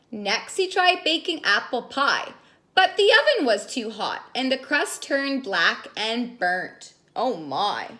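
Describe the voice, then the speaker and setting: A woman reading stories aloud to young children in an expressive, sing-song way, prompting them as she goes. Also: on the high side. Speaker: children's story reader and caregiver.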